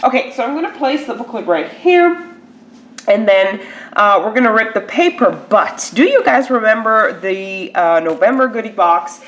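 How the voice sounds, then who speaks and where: A woman's voice: a little high, lively intonation, moderate pace. Stuffy-nosed woman chatting through an unboxing. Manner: chatty, filler-heavy, lots of "like" and "um". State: stuffy nose from a cold. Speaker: beauty box unboxing vlogger. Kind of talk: casual unboxing chat to camera.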